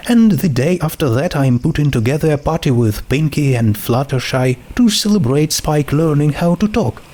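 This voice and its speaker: A man's voice: a little high, lively intonation, normal speed, warm tone, pitched above the performer's own voice. A man voicing a friendly, homesick teenage girl in a storybook, talking in a casual, chatty way. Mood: cheerful, hurried.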